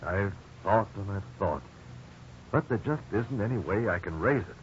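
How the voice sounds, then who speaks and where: An actor playing a troubled, conscientious middle-aged father, gentle and earnest, in a 1950s radio drama. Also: on the low side, expressive, moderate pace, lightly acted. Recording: dull, hissy 1950s radio recording.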